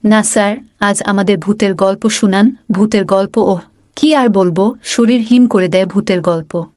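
Polite young pupil for kids' animation, female actor: keen, mid-pitched, closely recorded. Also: steady tone, normal speed, darkish tone, no echo, clean studio sound, close to an everyday voice. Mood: eager. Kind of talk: children's cartoon voice acting.

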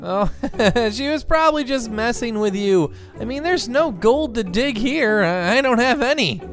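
A woman's voice: a little high, lively intonation, unhurried. A woman voicing a gullible, easygoing dad in a game roleplay, hesitant and stammering. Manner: hesitant, stammering, easily swayed. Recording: music playing behind.

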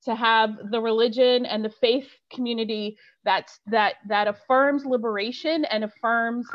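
Passionate higher-voiced woman, dull sound. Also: fairly even intonation, a little slow. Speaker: clergy panelist. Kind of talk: panel discussion.

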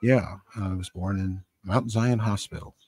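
An American man in his seventies hosting a casual live stream in a rambling, halting, conversational way, close on a studio microphone. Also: low voice, fairly even intonation, darkish tone, husky, no room sound. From San Francisco, Northern California, USA. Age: 70s. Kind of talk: casual live-stream chat, reading and answering comments. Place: small cluttered home studio indoors. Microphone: close boom-arm studio microphone with headphones.

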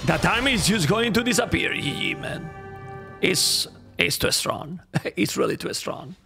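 Animated Spanish-accented man casting a game. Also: high-pitched, a little slow, vocal fry. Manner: animated, repeats phrases, talks to chat. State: losing his voice. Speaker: game caster. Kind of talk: live game commentary to chat. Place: home streaming room. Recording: faint game music behind.

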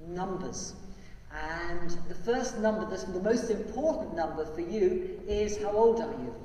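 An older male priest leading prayers, then talking gently and simply to children. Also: high voice, lively intonation, a little slow, a little hiss or hum. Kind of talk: liturgical prayer and children's address. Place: large church. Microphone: lectern mic, far from camera. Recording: echoey church from far off.

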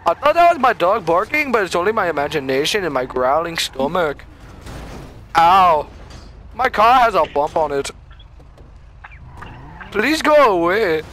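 A man's voice: high voice, very animated, unhurried, long pauses, pushed and bright, slight background noise. A young man chatting and joking with a friend while gaming, crude and giggly, often slipping into mock-polite talk. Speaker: gamer playing with a friend. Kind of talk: casual gaming banter.

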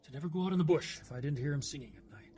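A man's voice: fairly high pitch, very fast, mellow, a little hiss or hum, natural voice. An actor playing a haunted older man telling stories in an audio drama, plain-spoken and folksy, with clipped phrasing and wry humor. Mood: haunted, grim.

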